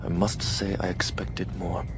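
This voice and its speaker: An actor playing a formal, scheming rebel lieutenant who speaks in a measured, stiff way. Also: male voice, deep voice, level tone, noisy background. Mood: grave. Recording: game cutscene with music behind.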